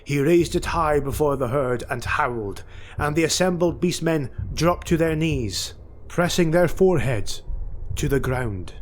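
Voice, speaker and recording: Slow, deliberate male fantasy narrator. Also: mid-range voice, slight background noise. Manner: deliberate, measured storytelling. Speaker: audiobook narrator. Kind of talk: dramatic scripted fantasy narration.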